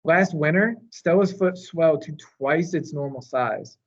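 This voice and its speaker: A man reading a children's novel aloud in a measured, expressive storytelling manner. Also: fairly high pitch, lo-fi sound. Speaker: storytime reader.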